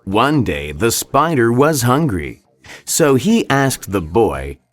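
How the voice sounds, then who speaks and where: A man reads a children's folktale with careful expression. His delivery is very unhurried and swings widely in pitch, in a dry, close recording. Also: very animated, no echo. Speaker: storybook narrator. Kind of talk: scripted children's read-along narration.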